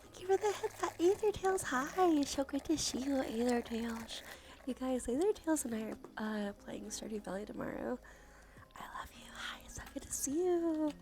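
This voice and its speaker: A woman streaming soft ASMR chat, often slipping into a whisper, sniffly with allergies and a sore throat, casual and affectionate with some swearing. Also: sits high, expressive, normal speed. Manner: breathy, frequent drops into whisper. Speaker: livestream host.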